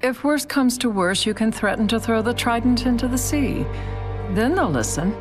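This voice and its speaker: An actress playing a stern, pragmatic official in a video game, with a deliberate, authoritative manner. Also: female voice, fairly high pitch, animated, over music, close to an everyday voice. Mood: cool, stern. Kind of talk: dramatic video game dialogue.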